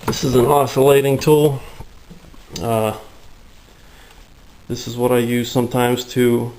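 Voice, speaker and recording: A man giving a casual tour of his home workshop, rambling through his explanations with lots of fillers, out of breath and getting raspy in the heat. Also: medium pitch, level tone, a little slow, a little mellow, slight background noise, low-quality recording. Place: hot, humid home workshop.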